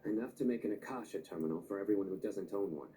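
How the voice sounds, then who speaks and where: An actor playing a composed, earnest, knowledgeable man in a fantasy video game, explaining things in a measured way. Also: lowish voice, mellow, close to an everyday voice. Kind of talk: scripted game voice acting. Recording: game audio, distant, faint noise.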